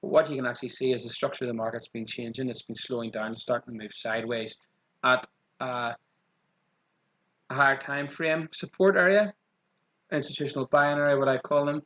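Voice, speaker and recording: A man with a strong Irish accent walking through trading charts quickly, often saying "okay" as he goes. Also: mid-range voice, fairly even intonation, a bit bright, lo-fi sound. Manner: quick, repeats 'okay' as a filler. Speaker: trading channel host. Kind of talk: screen-share chart walkthrough.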